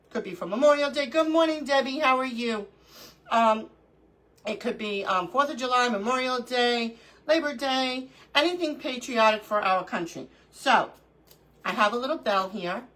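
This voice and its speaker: A middle-aged woman hosts a casual crafting livestream from home. Her voice is bright and clear, though stuffy from allergies, and she sniffles now and then. She chats easily and greets viewers one by one by name. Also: a little high, low-quality recording. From New York.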